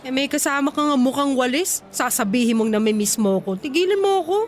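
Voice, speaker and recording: A woman's voice: high voice, fast, slight background noise, close to an everyday voice. An actress playing a sassy, jealous young single mother with a Filipino accent in a radio drama. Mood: sassy, taunting. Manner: taunting banter with sarcastic edge.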